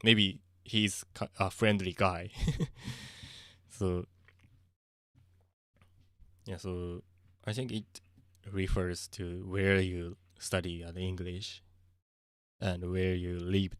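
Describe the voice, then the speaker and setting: A man with a Japanese accent, chatting casually on a livestream, halting and searching for words with frequent soft laughs. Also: sits low, level tone, dry recording, studio quality. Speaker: streamer.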